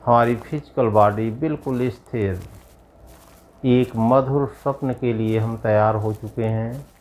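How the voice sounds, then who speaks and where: A man guiding a yoga nidra meditation as a yogi, giving measured instructions in short, spaced phrases. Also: mid-range voice, steady tone, darkish tone, a little hiss or hum, low-quality recording. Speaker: yogi leading guided meditation.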